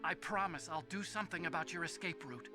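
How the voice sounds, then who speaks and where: An actor as a nerdy young scientist with a distinctly high male voice, earnest and slightly nervous yet determined. It sounds like a dramatic game cutscene, with music clearly behind him. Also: quick pace, lightly acted. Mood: resolute. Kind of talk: dramatic video game voice acting.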